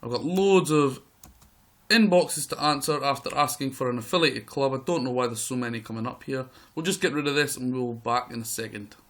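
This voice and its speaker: A man with a croaky voice giving excitable live play-by-play commentary over a football management game. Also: medium pitch, a little fast. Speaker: gaming video host.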